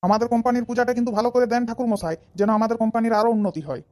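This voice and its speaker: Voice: mid-range voice, very fast, darkish tone, close to an everyday voice. A performer playing a bossy company man in a comedy cartoon.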